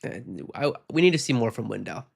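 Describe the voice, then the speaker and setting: Male podcast host, sick and hoarse, casual and rambling, reacts frankly to fan comments. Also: mid-range voice, lively intonation, fairly quick. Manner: frank, rambling, reads viewer comments aloud. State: sick, losing his voice. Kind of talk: casual postgame chat reading comments.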